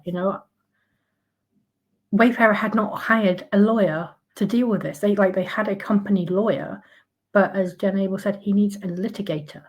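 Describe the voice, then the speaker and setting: A woman whose voice is croaky today, ranting in her own casual commentary at an ordinary middle pitch. She is sarcastic and outraged, stumbling through fillers and restarting sentences as she goes. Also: a little mellow. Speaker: commentary vlogger.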